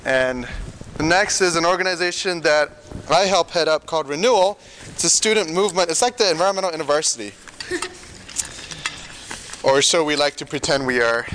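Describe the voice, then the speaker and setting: A young man talks to a small group in a relaxed, conversational way, often chuckling and pausing on fillers as he tells a story. His voice sits a little high for a man and has a bright, forceful edge. He grew up in Singapore and Malaysia. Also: slight background noise, poor audio. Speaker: speaker at a small student group. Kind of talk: informal talk with storytelling.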